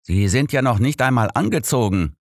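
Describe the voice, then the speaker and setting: A man voicing a brisk, impatient, probing elderly detective in an audiobook. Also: mid-range voice, lively intonation, no echo, clean studio sound, pitched above the performer's own voice.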